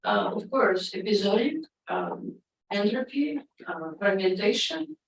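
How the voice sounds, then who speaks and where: A woman's voice: medium pitch, animated, a little slow, far from the mic, a little hiss or hum. A woman whose first language is Russian, a poet and psychoanalyst, commenting from the audience at a lecture and talking hesitantly with many fillers. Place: lecture room. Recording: roomy hall, voice from off mic.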